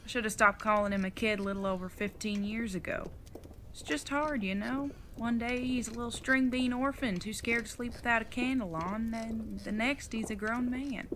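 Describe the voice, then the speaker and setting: A woman's voice: a little high, normal speed, noisy background, lightly acted. A woman acting in an audio drama as a retired outlaw mother, older, fond and teasing, with a folksy Old West way of talking. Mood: musing, fretful.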